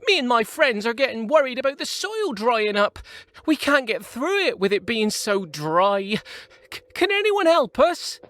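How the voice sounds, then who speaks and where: A tiny, high-pitched, distressed springtail. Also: male voice, sing-song, moderate pace, a bit bright, no echo, exaggerated voice. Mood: distressed, pleading. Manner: distressed, crying out. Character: anxious, tiny springtail pleading for help.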